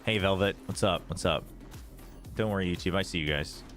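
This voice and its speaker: A man's voice: low voice, brisk, a bit bright, soft background music. A man with an American East Coast accent chatting informally as he hosts a casual esports livestream. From United States. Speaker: esports watch party host and analyst. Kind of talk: casual livestream commentary and chat.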